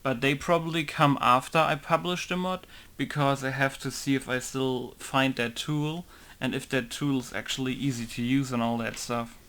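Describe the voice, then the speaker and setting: A man's voice: mid-range voice, moderate pace, hardly any pauses. A man who mods games, talking casually and rambling as he works through a tutorial, laughing now and then. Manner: relaxed, rambling, laughs often. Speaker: game modder. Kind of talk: casual screen-recording commentary.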